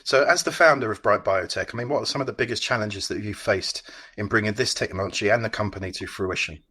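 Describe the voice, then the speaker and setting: A man's voice: on the low side, quick pace, pushed and bright, slightly rough. A man hosting an interview, introducing his guest and putting questions to him. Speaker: interview host.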